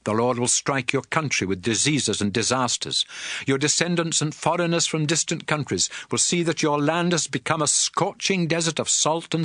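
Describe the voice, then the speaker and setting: A man's voice: medium pitch, fairly bright tone, no echo, studio quality. An actor playing a solemn Hebrew prophet addressing his nation in earnest oratory for a dramatized Bible. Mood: stern warning. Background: music.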